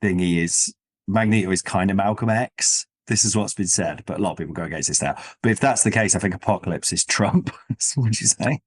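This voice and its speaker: A man with a fairly low voice hosts a casual chat show. He rambles and thinks aloud, often checking his own points with questions. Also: brisk, breathy edge. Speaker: podcast host. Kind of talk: casual comic-book discussion.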